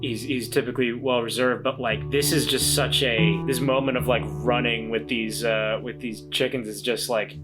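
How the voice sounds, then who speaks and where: A man's voice: medium pitch, steady tone, low-quality recording. A man playing a tabletop story game, talking casually and tentatively as he works out his character aloud. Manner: tentative, halting, thinking aloud with repeated words. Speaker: player in an actual-play podcast. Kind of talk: casual improvised roleplay discussion. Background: faint guitar music.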